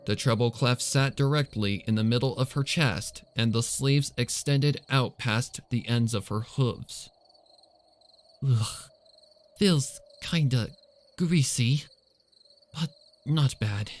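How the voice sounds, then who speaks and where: A man reading a suspenseful story as narrator, in a scripted dramatic storytelling style. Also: mid-range voice. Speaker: fan fiction reader and narrator.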